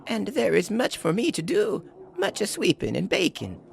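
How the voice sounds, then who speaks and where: A woman playing Befana, an old peasant woman who is fussy and busy, speaking with a creaky, gravelly voice and scoffing exclamations. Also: wildly swooping pitch, moderate pace, darkish tone, heavily acted. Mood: dismissive. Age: older. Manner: exclaims "Bah!", swoops in pitch. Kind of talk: audio drama acting.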